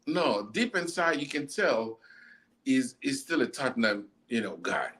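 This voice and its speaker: Man, casual football banter, lively, mid-pitched voice, mimics others. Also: a little slow. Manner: animated, laughing, mimics others in quotes. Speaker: podcast co-host.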